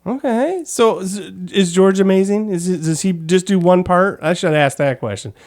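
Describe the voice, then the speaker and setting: A man's voice: high-pitched, normal speed. A man hosting a casual interview in an informal, jokey, rambling way. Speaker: podcast host.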